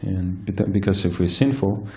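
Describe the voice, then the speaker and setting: A man giving a scripture lecture, soft-spoken and hesitant, with frequent fillers and restarts. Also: deep voice, steady tone, moderate pace. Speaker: speaker giving a scripture class. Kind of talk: sermon-style lecture. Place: temple hall with live audience.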